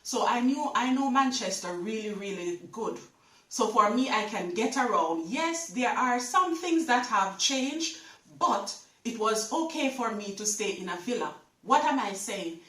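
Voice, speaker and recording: A Jamaican woman in middle age, a travel vlogger who chats casually to camera and often doubles her words. Her voice sits a little high for a woman. She sounds set back from the mic in a room that rings. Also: expressive, measured pace, fairly bright tone, reverberant, far from the mic, rough recording. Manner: chatty, repeats words, speaks straight to viewers.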